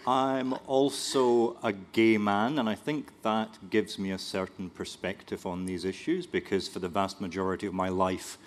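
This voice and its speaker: A man's voice: low voice, slight background noise. A man speaking as a panelist, lecturing on history with dry self-deprecating humor. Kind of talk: academic panel discussion.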